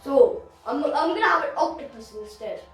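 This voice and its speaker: A high young voice playing a chatty, stubborn little dragon boy in an improvised puppet show, childlike and argumentative. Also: lively intonation, moderate pace, fairly bright tone, soft background music. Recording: very echoey room, distant from the mic.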